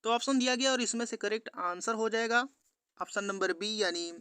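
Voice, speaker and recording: A man's voice: sits high, fairly quick, sharp tone, clean tone, no echo. A man presenting an exam-prep quiz lesson, reading out questions and answers in an instructional, repetitive way to viewers. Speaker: exam-prep teacher on a study channel.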